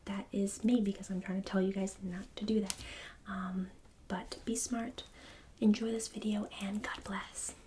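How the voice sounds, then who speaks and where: A woman whispering casually to viewers in an ASMR style, gentle, apologetic and reassuring. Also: mid-range voice, little pitch movement, moderate pace, slight background noise. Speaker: ASMR creator.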